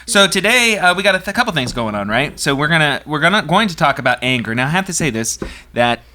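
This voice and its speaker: A man's voice: on the high side, big pitch swings, a crowd in the background. A middle-aged man co-hosting a podcast in casual chat, upbeat and laughing often. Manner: laughing, upbeat, quick restarts. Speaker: podcast co-host.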